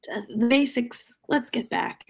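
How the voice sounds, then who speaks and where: A woman's voice: on the high side, unhurried, vocal fry, faint music behind. A woman in her thirties with an American accent, giving wry opinions in a casual sports chat. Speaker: co-host and team reporter. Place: room at home. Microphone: video call.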